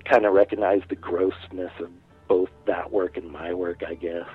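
A man's voice: low voice, flat delivery, normal speed, breathy edge, dry recording, soft background music. A man in his late forties, a guest artist, chatting casually and rambling a bit over a phone line. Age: 40s. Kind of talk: casual interview chat.

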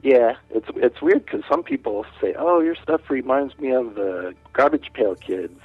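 A man's voice: medium pitch, very animated, a little slow, gravelly, husky, no echo. A man in his late forties, a guest artist, chatting casually and rambling a bit over a phone line. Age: 40s. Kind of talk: casual interview chat.

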